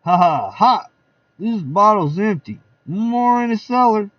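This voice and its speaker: Brief: man playing boisterous party crowd voices, high and hollering, dull distant sound. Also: lively intonation, measured pace, dry recording. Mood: rowdy. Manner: loud, rowdy. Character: rowdy loud party guests, rude and boisterous.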